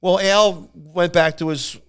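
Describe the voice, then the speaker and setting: A man casually telling anecdotes on a podcast in a rambling, animated way, acting out what people said. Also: sits high, takes it slowly, smooth. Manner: rambling, animated retelling with acted-out quotes. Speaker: podcast storyteller.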